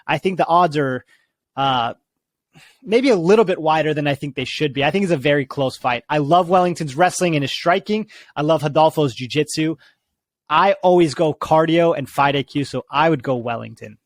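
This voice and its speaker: A man's voice: fairly high pitch, normal speed, no room sound, studio quality. Hesitant man thinking aloud about fights. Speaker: podcast co-host. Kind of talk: casual sports betting chat.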